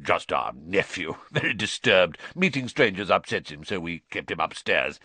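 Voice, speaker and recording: A man's voice: low voice, big pitch swings, normal speed, pushed and bright, breathy edge, no room sound, voice pushed down, full character voice. A man playing a bullying blustering uncle. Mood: falsely jovial. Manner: menacing, through gritted teeth. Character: pompous bullying middle-aged uncle, bad-tempered.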